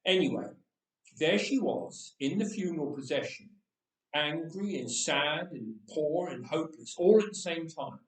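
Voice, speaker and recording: A man's voice: fairly high pitch, animated, unhurried. A man reading a storybook tale as a candid thirteen-year-old boy who recalls his own death in a chatty, matter-of-fact way. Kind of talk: first-person storybook reading. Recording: echoey room, distant microphone.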